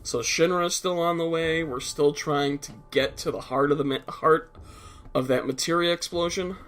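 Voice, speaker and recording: A man's voice: on the high side, normal speed, faint music behind. Stuffy-nosed man explaining a game story. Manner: relaxed, explanatory, blunt and a little profane. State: stuffed-up nose. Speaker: let's play commentator. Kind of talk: casual game commentary.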